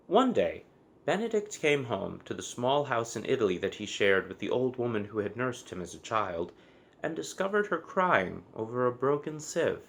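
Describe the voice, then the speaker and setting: A man narrating a children's story from a book, with expressive, measured phrasing and deliberate emphasis. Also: mid-range voice, vocal fry. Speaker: storybook reader.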